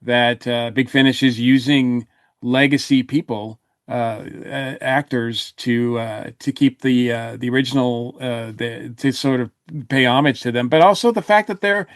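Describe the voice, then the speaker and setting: A man's voice: medium pitch, a little slow, no echo. A man with a mid-range voice talks casually on a review podcast. He feels his way through sentences, hesitating and repeating words often. The sound is dry, with very little room to it. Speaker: podcast panelist.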